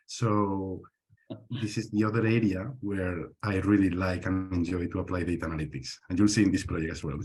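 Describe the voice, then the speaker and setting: Deep-voiced man. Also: fairly even intonation, fairly quick, darkish tone, husky. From Spain. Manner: plain, fillers between phrases. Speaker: student, chemical engineer.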